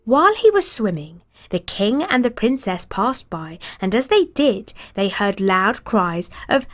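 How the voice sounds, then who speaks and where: A woman reading a fairy tale aloud in an expressive storybook cadence. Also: mid-range voice, unhurried.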